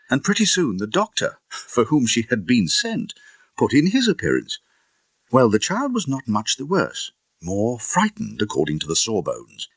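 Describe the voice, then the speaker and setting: A man voicing an indignant Victorian gentleman with a husky British voice, telling a grim tale in an emphatic, theatrical audiobook style. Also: fairly low pitch, no room sound, heavily acted. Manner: emphatic, theatrical, conversational asides. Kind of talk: dramatised audiobook storytelling.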